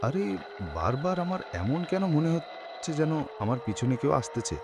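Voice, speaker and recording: A man's voice: on the high side, sing-song, normal speed, background noise. A man playing a polite, frightened young man in a cartoon horror story, with an anxious, dramatic manner. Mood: fearful.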